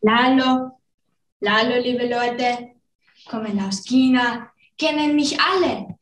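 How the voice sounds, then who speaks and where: A woman's voice: fairly high pitch, takes it slowly, a bit bright. A young woman acting a cheerful virus that travels the world, speaking broken German in a singsong way. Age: teens. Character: cheerful foreign-sounding virus touring the world. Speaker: student performer. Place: large school classroom. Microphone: far camera. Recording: roomy, distant classroom recording.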